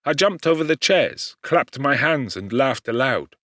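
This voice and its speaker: A man giving a measured, articulate and dramatic narration of a classic gothic novel. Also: medium pitch, animated, fairly bright tone, no echo, studio quality. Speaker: audiobook narrator, actor.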